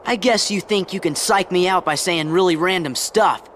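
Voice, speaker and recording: A man's voice: sits high, moderate pace, a bit bright, a little hiss or hum. An actor playing a brash, hot-headed teenage boy hero in a video game, blunt and defiant. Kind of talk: video game cutscene acting.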